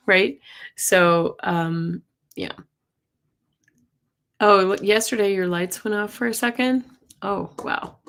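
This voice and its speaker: A middle-aged woman with a hoarse, husky voice, chatting casually as host of a live tarot and astrology stream and reacting to viewer comments. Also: mid-range voice, steady tone, slow pace, pauses often, fairly bright tone. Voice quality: husky, gravelly voice. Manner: chatty, reacts to comments, coughs and clears throat. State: hoarse from losing her voice.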